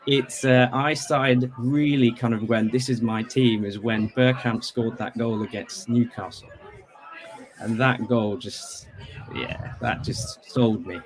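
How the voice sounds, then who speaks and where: A man's voice: medium pitch, steady tone, slow, rough recording. A man from Bangladesh calling in to a podcast as a football fan, talking casually with many conversational asides. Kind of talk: casual sports chat.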